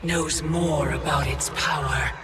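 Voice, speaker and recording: A woman playing a haughty, ageless female Titan in a video game, speaking in an imperious, deliberate manner. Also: deep voice, fairly even intonation, heavy background noise. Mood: cold, taunting. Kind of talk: dramatic video game acting.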